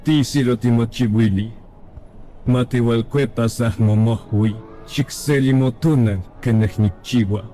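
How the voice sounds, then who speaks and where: A calm divine guide played by a man speaks patiently in a dark, mellow voice. The delivery is grave and slow, with light music under this animated dub. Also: medium pitch, lightly acted. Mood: grave, urging. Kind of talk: fan-dubbed animated drama.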